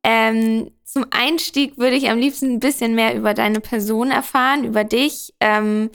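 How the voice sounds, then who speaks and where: A woman's voice: on the high side, steady tone, normal speed, a bit bright, clean tone. A woman hosting a conversational podcast interview, introducing and questioning her guest.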